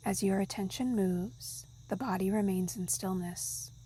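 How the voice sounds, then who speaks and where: A woman leads a yoga nidra practice in a soft, breathy voice that slips toward a whisper. Her delivery is very unhurried and soothing, with a warm, relaxed tone. Faint insect sounds sit behind her voice. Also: mid-range voice, slight background noise. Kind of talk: guided meditation narration.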